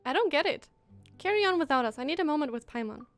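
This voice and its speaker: A young woman streams a game and reacts as she plays. Her high voice is animated, gushing and emotional, with faint music behind it. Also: quick pace.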